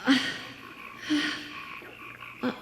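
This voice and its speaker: A woman playing a frightened woman alone at night, talking haltingly to herself in a live radio suspense play. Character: frightened woman walking home alone at night, self-reassuring. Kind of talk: live old-time radio suspense acting. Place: live stage performance in a hall. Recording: echoey hall, long reverb, faint noise.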